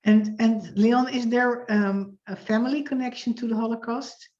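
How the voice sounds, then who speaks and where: A woman hosting a podcast interview, calmly asking questions. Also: a little high, moderate pace. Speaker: podcast host. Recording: dull echoey sound.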